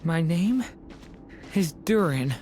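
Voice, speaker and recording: A woman voicing a gentle young female created being in a video game, speaking softly and haltingly as she searches for herself. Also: lowish voice, animated, a little hiss or hum. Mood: faltering. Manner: soft, halting, wondering.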